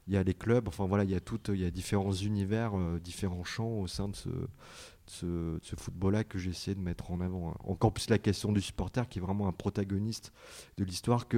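Low, mellow-voiced hesitant man. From France. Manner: hesitant, many fillers and restarts. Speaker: author and journalist. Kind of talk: answering audience questions at a book talk.

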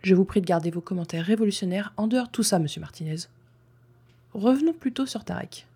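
Woman acting a stern, husky female police inspector. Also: medium pitch, mellow. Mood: stern, probing. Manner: formal, measured, probing. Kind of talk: audio drama acting.

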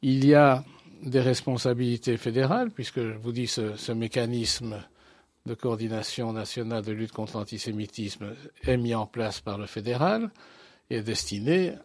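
A man answering interview questions as a guest, speaking in a formal, deliberate and articulate way. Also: mid-range voice, a little mellow, no room sound. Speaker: interview guest, association president.

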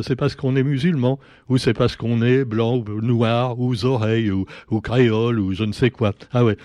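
A man reads through the local papers on radio, mocking the headlines with ironic asides and mock dialogues. His voice sits at an ordinary middle pitch and the studio sound is close and dry, with no room echo. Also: a little mellow. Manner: sarcastic, ironic, with rambling asides. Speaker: radio press reviewer. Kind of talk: joking press review commentary.

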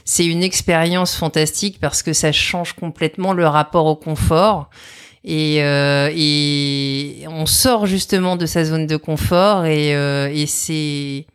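A woman's voice: low-pitched, fairly even intonation, slow pace, clear, resonant tone. A woman in a conversational interview, hesitant and often searching for her words.

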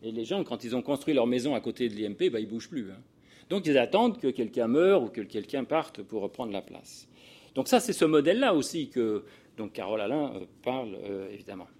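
A man's voice: mid-range voice, animated, a little fast, a little mellow. Older man lecturing in a digressive way. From Paris region, France. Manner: hesitant, digressive delivery with self-interruptions and repeated words. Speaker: sociologist giving a talk to social work professionals. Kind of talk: informal lecture with personal anecdotes.

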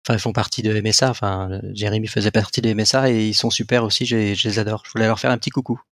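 A man on a podcast, speaking quickly and enthusiastically and often correcting himself. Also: lowish voice, fairly even intonation, fairly bright tone, no echo, studio quality. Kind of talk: casual podcast chat.

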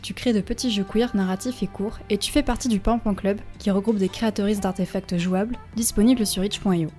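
A woman hosts a radio programme from the studio and reads a scripted chronicle in a clear, measured voice with a hint of drama. Her tone is mellow and warm, at a middle pitch, and a faint music bed plays under her. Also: steady tone. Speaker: radio show host.

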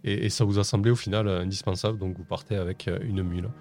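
A man acting as game master, improvising narration for a tabletop roleplaying game in a hesitant, halting way with repeated words. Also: low voice, steady tone, a little mellow. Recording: music playing under the voice.

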